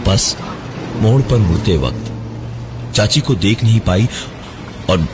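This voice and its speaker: A man narrating a scripted first-person horror story in a dramatic, halting, suspenseful manner. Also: lowish voice, animated, background noise. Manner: dramatic, halting delivery with suspenseful breaks and repeated words.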